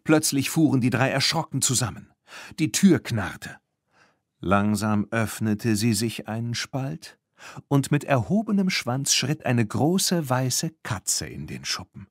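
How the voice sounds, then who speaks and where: A man's voice: medium pitch, very animated, takes it slowly, husky, no echo, clean studio sound. A man reading a scripted children's story as an audiobook narrator.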